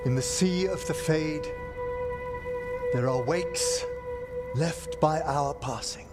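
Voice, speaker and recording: An actor playing a refined, earnest necromancer scholar in a fantasy game, eloquent and formal with a tender manner. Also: male voice, a little high, slow, over loud music. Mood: solemn, pleading.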